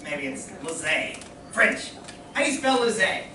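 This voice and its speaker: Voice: mid-range voice, very animated, unhurried, sharp tone. A young performer playing a dim, wisecracking, girl-chasing comic in a vintage radio comedy routine on stage. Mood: puzzled, wisecracking. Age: young adult. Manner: wisecracking, complaining. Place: live stage in a community playhouse. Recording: very echoey distant hall, clear noise.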